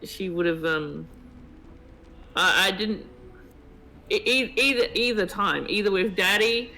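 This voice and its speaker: High, bright male voice, halting casual chat. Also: slight background noise. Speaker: co-op gaming partner on a stream. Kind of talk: casual chat about a game's story.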